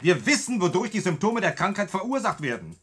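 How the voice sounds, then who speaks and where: An actor playing an earnest, flustered pharmacologist in a German radio drama, formal, pedantic and defensive. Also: male voice, high-pitched, big pitch swings, fairly quick, a bit bright, slight background noise. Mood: earnest, insistent.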